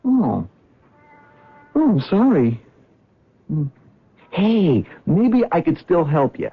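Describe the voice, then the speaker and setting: A stammering, nervous cab driver. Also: male voice, sits high, very animated, slow, husky, full character voice. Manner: hesitant, stammering, apologetic. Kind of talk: 1970s radio drama acting. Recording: dull old radio broadcast.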